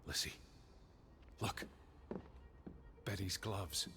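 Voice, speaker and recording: An actor voicing an earnest, anxious American naturalist of the 1920s in a video game, with a formal, literary way of speaking. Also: male voice, deep voice, extremely slow, creaky, music in the background, heavily acted. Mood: agitated, hopeful.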